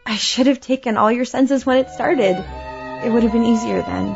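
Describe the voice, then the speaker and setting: A woman's voice: on the high side, moderate pace, gravelly, muffled recording, over music. A woman playing a desperate, bitter wife in a horror audio drama. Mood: cold menace. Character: desperate, bitter wife pleading not to be sent back.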